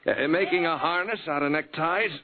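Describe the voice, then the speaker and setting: An actor playing an easygoing, soft-hearted, rambling newspaperman in a 1940s radio drama. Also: a little high, moderate pace, lightly acted. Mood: coaxing, fond. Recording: tinny, very noisy old radio broadcast.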